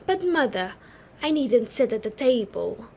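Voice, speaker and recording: A woman's voice: a little high, sing-song, unhurried, warm tone, husky, full character voice. A woman playing a flustered, apologetic young daughter of a society hostess in a stage comedy reading, anxious and pleading. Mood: meek, pleading. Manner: anxious, pleading, self-deprecating.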